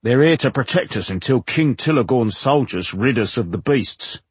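A man voicing an uneasy, conciliatory village publican in an audiobook, offering hurried reassurance. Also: medium pitch, lively intonation, slightly rough, no echo. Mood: worried, placating. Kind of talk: audiobook character dialogue.

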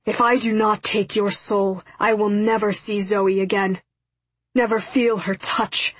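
A woman's voice: medium pitch, husky, dry recording. A woman voicing a stern, tormented young man who makes formal, menacing declarations in an audiobook story. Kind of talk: audiobook character acting.

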